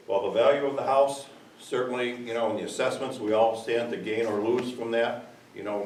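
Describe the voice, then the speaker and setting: An older man, a local resident, giving a loud and earnest public comment at a village meeting, rambling with fillers. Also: fairly low pitch. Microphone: far room mic. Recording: echoey hall, distant mic, faint hiss.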